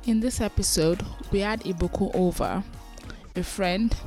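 Lady hosting a chill podcast, chatty and loose, soft music under her intro. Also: medium pitch, unhurried. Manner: chatty, laughing, loose and informal. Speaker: podcast host. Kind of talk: casual podcast chat.

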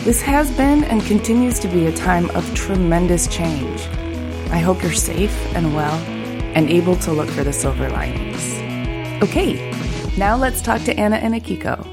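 A woman's voice: fairly low pitch, animated, moderate pace, loud music behind the voice. A woman hosting a design podcast with an earnest, enthusiastic manner, reading an intro and then chatting with guests. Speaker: podcast host. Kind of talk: scripted intro and casual interviewing.

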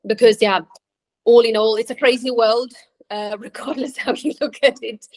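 A woman's voice: fairly high pitch, steady tone, moderate pace. A woman who grew up in Switzerland, a career coach talking as a podcast guest over a remote call, hesitant, with many ums and restarts. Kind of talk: conversational interview.

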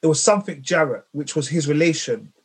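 A man in a casual group chat, animated and slangy, often saying "bruv". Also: fairly high pitch, brisk, vocal fry, husky.

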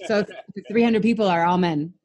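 Female, 30s, chatty upbeat interviewer, mid pitch. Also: a little mellow, lo-fi sound. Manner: chatty, upbeat, quick follow-up questions. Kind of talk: casual interview.

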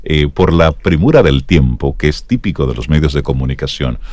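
A man's voice: a bass voice, lively intonation, moderate pace, darkish tone, no echo. A man hosting a conversational radio show, courteous and emphatic in the way he talks.

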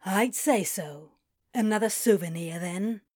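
A small serpent prince acted by a woman in an audio story. He speaks slowly, in a flat, faintly hissing drawl. His airs are princely and his temper is dry and sardonic. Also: mid-range voice, lightly acted. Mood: demanding, incredulous. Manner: sibilant, drawn-out, flat drawl.

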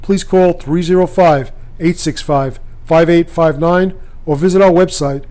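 A man reading a scripted commercial as an announcer, in a measured, formal way with clear phrasing. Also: on the high side, steady tone, dark tone. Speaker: advertisement announcer.

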